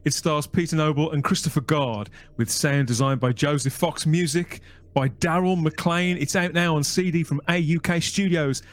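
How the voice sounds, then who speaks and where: A man's voice: on the high side, moderate pace. A man hosting a podcast interview over a video call, upbeat and chatty, thanking guests and pointing listeners to links. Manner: upbeat, wraps up with thanks and plugs. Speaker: podcast host. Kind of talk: casual interview chat.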